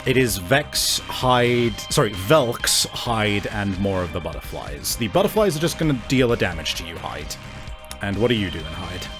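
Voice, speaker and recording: A man acting as game master, improvising narration for a tabletop horror game in halting descriptions. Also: fairly low pitch, big pitch swings, over music.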